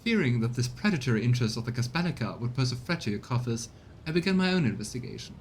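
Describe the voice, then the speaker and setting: A man's voice: medium pitch, very animated, fast talker, slight background noise. A man voicing a prim, formal retainer in a video game, wordy and deferential in manner. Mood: officious, formal. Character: prim, formal retainer reporting to his lord.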